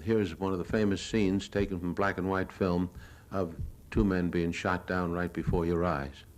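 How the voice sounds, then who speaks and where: Low-voiced older American man narrating film. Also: little pitch movement, normal speed. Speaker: newsreel reporter giving a lecture. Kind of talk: live lecture narrating his own war film. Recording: 1970s archival lecture audio recording.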